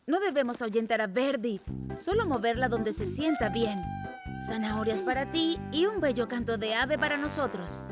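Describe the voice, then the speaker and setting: A woman plays an eager cartoon boy with a high voice, earnest and set on helping. Music sits loud behind the dubbed lines. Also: lively intonation, moderate pace, close to an everyday voice. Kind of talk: cartoon dub acting.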